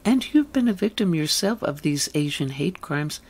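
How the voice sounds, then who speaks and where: A woman's voice: low voice, lively intonation, moderate pace, a little mellow, husky. A woman hosting a radio interview, putting questions to a guest and addressing listeners.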